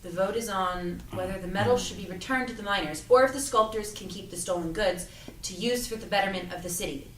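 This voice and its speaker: A woman's voice: mid-range voice, brisk, recorded from a distance, slight background noise, lightly acted. A woman playing an earnest, anxious young apprentice in an audio drama read-through, speaking naturally and conversationally. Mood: curious, practical. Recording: roomy, off-mic, music underneath.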